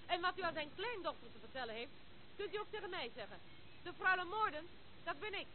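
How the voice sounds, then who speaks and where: A woman's voice: very high-pitched, expressive, a little fast, slight background noise. An actress playing a teasing, challenging young noblewoman in a Dutch radio play. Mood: mocking, challenging. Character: mocking young noblewoman calling from a distance. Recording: tinny band-limited old recording, background outdoor noises.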